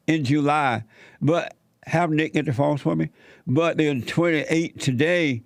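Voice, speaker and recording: A man's voice: mid-range voice, creaky, dry recording, clean studio sound. A man filling in as host of a call-in talk show, chatting casually and breaking off into tangents with callers. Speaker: fill-in radio show host.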